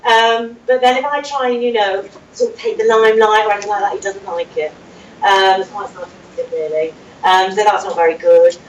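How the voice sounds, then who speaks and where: A woman playing a mild, hesitant safety assistant who fills pauses often. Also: medium pitch, fairly even intonation, lo-fi sound, close to an everyday voice. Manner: hesitant, many fillers, mild. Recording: roomy distant room with faint noise.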